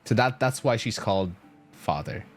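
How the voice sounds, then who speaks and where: Low-voiced young man rambling on stream. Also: animated, moderate pace, soft background music. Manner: rambling, casual swearing, emphatic storytelling. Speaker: game streamer. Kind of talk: casual live-stream chat, explaining game story.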